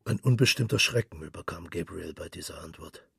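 A man with a husky, gravelly voice reads a literary story in as a dramatic radio narration, brooding and measured. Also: deep voice, fairly bright tone, dry recording, clean studio sound.

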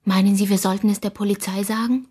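Woman playing a breathy, anxious young girl. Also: medium pitch, fairly even intonation, fast, warm tone, lightly acted. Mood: anxious questioning. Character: worried young girl, anxious but forgiving daughter. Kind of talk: radio drama dialogue.

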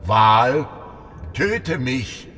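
Weary brooding whale in a radio play, slow and low, big swings in pitch, faint noise. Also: male voice, sing-song, a bit bright, long reverb tail, exaggerated voice. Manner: slow, solemn, theatrical delivery. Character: weary, brooding, stubborn last whale longing for death.

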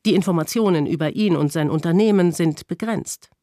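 A woman's voice: lowish voice, brisk, mellow, no room sound. Dry studio-voiced woman announcer. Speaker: programme announcer. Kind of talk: scripted introduction.

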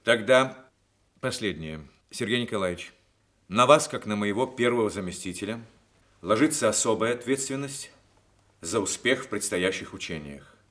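An actor playing a dignified senior military commander, formal and deliberate, in a Soviet radio play. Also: male voice, fairly low pitch, expressive, a bit bright. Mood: firm, instructive. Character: dignified senior army commander, male, courteous, measured.

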